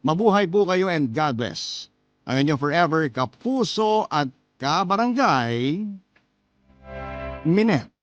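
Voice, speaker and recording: Filipino guy reading a letter story for radio, kinda high voice, music clearly behind. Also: big pitch swings, takes it slowly, no echo, dull audio. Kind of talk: scripted radio narration.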